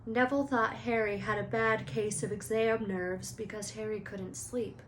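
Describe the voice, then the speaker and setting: Female read-aloud storyteller, medium pitch, expressive narration, faint background noise. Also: normal speed, poor audio.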